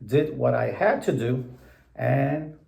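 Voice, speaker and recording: Slow gravelly older man from Puerto Rico. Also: medium pitch, mellow, echoey room. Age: 70s. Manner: slow, deliberate, plain-spoken. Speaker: interviewee judge. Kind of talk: measured recollection in an interview.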